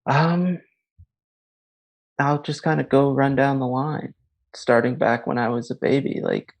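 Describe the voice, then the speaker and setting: A man's voice: mid-range voice, steady tone, unhurried, gravelly. A man in his thirties co-hosting a podcast, talking in a loose, rambling way with lots of "like" and restarts. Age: 30s. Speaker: podcast co-host. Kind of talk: casual chat.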